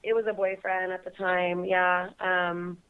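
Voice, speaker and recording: A woman's voice: on the low side, level tone, a little slow, smooth. A young woman from California's Bay Area, a guest calling in to a casual interview, who giggles often between phrases. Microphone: phone call. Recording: tinny phone line.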